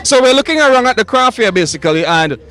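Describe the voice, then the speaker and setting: A man's voice: very high-pitched, lively intonation, quick pace, pushed and bright. A man hosting chatty, joking interviews at an outdoor festival. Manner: chatty, jokey, many restarts. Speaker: roving festival host. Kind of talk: upbeat on-location interviewing.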